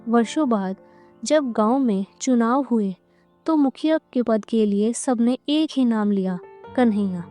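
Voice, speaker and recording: A woman's voice: fairly high pitch, unhurried, dark tone, soft background music. A woman narrating a scripted cartoon moral story as its storyteller.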